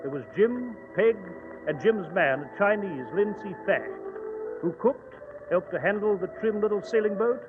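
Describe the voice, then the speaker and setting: A man narrating a scripted story in an old-time radio drama, with a measured storytelling delivery. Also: high voice. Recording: tinny old radio recording, clear hiss.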